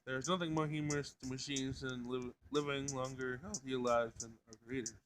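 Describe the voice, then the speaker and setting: A man voicing a blunt, literal-minded robot in a schoolgirl's body, formal and deadpan, in a visual novel. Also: medium pitch, brisk, a little hiss or hum, lightly acted. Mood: deadpan joking.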